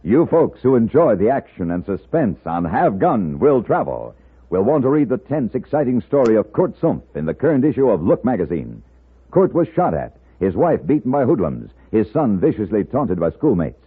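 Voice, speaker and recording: A man's voice: fairly low pitch, sing-song, normal speed, breathy edge. A man announcing on 1950s radio in a scripted, dramatic and emphatic style, on a tinny old broadcast.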